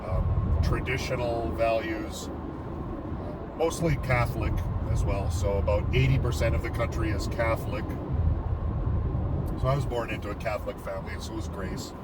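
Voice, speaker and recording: A middle-aged Canadian man talks slowly and haltingly, filling pauses with ums and uhs. His lowish voice is heard from a little distance. Loud street traffic runs under everything he says. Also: steady tone. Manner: halting, many fillers and restarts. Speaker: vlog host. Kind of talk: casual vlog talk, outdoors. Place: outdoors near a road with cars. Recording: outdoor street recording with loud traffic noise. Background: loud traffic and street noise.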